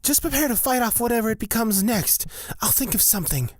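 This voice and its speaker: A woman plays a calculating young-sounding witch-cleric in a fantasy audio drama, with a fairly high voice in a dry, close recording. The delivery is deliberate and wry, as if working out a plan aloud. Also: animated, dry recording. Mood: pensive, dry.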